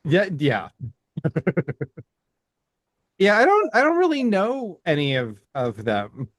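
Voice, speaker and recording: A middle-aged man co-hosting a casual podcast, speaking in halting phrases with many restarts and stammers. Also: high-pitched, wildly swooping pitch, fairly bright tone, no room sound. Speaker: podcast co-host. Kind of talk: casual chat.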